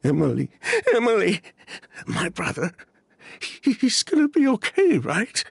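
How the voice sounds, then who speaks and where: A grieving, distraught male game character, cries as he speaks. His husky voice swings widely in pitch, halting and stammering as he pleads, recorded dry and close. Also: sing-song, no room sound, heavily acted. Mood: desperate. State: crying, distressed. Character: Sylvain, distraught merchant, grieving brother. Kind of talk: video game voice acting.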